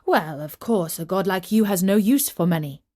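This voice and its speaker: A woman's voice: lowish voice, expressive, normal speed, mellow. A woman voicing a foolish, gold-greedy king in a dramatic, emphatic storybook reading. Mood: eager, greedy. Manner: theatrical, emphatic. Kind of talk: children's storybook character reading.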